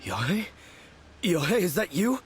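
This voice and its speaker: A man's voice: sits high, sing-song, measured pace, slight background noise. An actor playing a weak, shaken, timid young man in a video game. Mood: dazed, frightened. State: weak, drained. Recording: loud game music behind.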